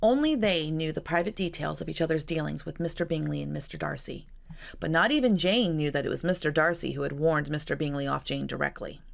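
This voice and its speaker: A woman reading a novel aloud as narrator, with an expressive, measured, dramatic storytelling delivery. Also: sits low, gravelly. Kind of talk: expressive scripted audiobook narration.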